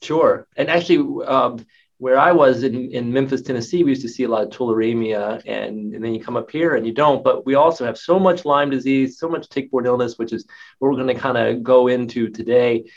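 A man's voice: medium pitch, fairly even intonation, a little fast. A man hosting an interview, upbeat and enthusiastic, introducing his guest and asking questions casually. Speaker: interview host. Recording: dull lo-fi sound.

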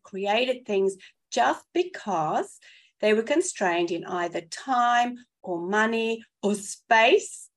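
A woman with a South African accent, co-hosting an education podcast in an enthusiastic, emphatic way. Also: mid-range voice. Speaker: podcast co-host. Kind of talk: casual chat.

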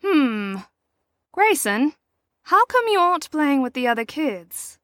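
Woman playing a high, swooping genteel madame. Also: big pitch swings, slow, fairly bright tone, no echo, full character voice. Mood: confiding. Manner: theatrical, genteel, formal. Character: genteel older woman chaperone, sweet but faintly menacing. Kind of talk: audio drama acting.

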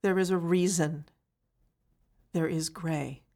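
A weary woman prisoner, voiced by an actress delivers a poetic monologue in a low voice. She speaks very slowly and lingers on each image. The delivery stays brooding throughout. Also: fairly even intonation, darkish tone. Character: weary female prisoner musing on gray.

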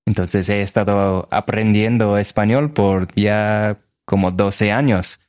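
This is a man in his thirties with a fairly low voice. He speaks slowly and haltingly in casual conversation, searching for words. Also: steady tone, darkish tone, clean tone. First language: English.

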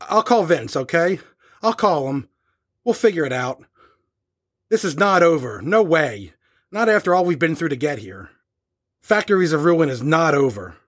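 Actor as an agitated, high-pitched male rocker. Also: sing-song, normal speed, pauses often. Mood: desperate, determined. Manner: urgent, slangy. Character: agitated rock bandmate, urgently trying to hold band together. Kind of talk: audio horror drama acting.

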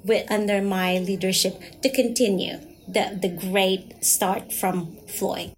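A woman's voice: medium pitch, fairly even intonation, slow. Middle-aged woman interviewee, faint background noise. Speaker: interviewee, nonprofit executive. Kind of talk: conversational interview answers.

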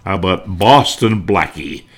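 A man's voice: low voice, lively intonation, measured pace, breathy edge. A man narrating nostalgically, with theatrical delivery and impressions of catchphrases. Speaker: narrator reminiscing about old-time radio.

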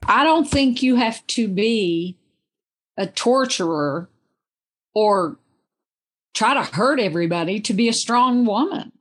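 A woman talking as a guest in a literary discussion, in a thoughtful, reflective and deliberate manner. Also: a little high, expressive.

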